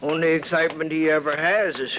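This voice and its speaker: Guy playing a gabby folksy building manager, higher voice, hissy old radio. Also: fairly even intonation, fast, lightly acted. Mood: gossipy. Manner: talkative, homespun, says ain't. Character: chatty, folksy male apartment house manager. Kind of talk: 1950s radio drama acting. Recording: muffled old radio broadcast with hiss.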